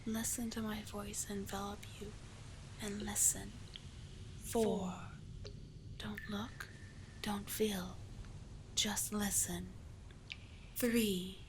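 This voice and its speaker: Seductive sea witch played by a woman, ASMR roleplay. Breathy, hypnotic, unhurried, mid-pitched, over clearly noisy ambience. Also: little pitch movement. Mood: commanding, hypnotic. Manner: breathy, whispery, coaxing, lingering on words. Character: seductive, doting, manipulative female sea witch of the deep caves. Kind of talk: ASMR roleplay, slow hypnotic sleep-aid delivery.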